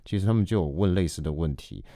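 A man's voice: sits low, quick pace, dark tone, dry recording, studio quality. A man with a Taiwanese Mandarin accent, an actor speaking as a podcast guest in a reflective, explanatory, conversational way. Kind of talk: conversational interview.

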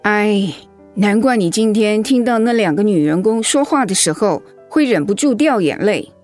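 An actress in a Mandarin radio drama playing a caring older mother-in-law, soothing, motherly and gently wry. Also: medium pitch, expressive, normal speed, faint music behind, close to an everyday voice. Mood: comforting.

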